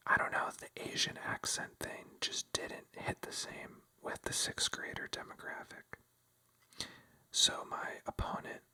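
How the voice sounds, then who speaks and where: A young man telling a story in a close, soft ASMR whisper, rambling and self-mocking with lots of fillers.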